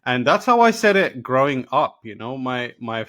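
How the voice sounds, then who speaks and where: A young man born in Pakistan and raised in London, telling a personal story in casual chat with halting restarts and laughter. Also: medium pitch, sing-song, a bit bright, dry recording, clean studio sound. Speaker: podcast guest.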